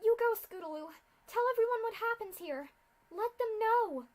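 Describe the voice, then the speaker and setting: A woman's voice: extremely high pitch, expressive, moderate pace, pitched above the performer's own voice. A woman voicing a frightened young female pegasus in a fan fiction reading, crying out in panic. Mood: panicked. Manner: panicked, cries out.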